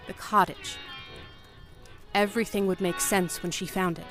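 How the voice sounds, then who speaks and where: A woman's voice: mid-range voice, fairly even intonation, fairly bright tone, soft background music, close to an everyday voice. A woman playing a frightened young woman desperately telling a story in a horror audio drama. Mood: uneasy, hushed. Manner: slow, haunted storytelling, breaking into pleading.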